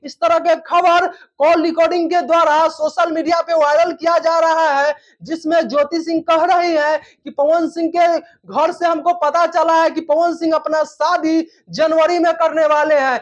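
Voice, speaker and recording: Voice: extremely high pitch, flat delivery, normal speed, hardly any pauses. A news presenter giving an informal commentary on viral news in repetitive, wordy phrasing. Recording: dull lo-fi recording.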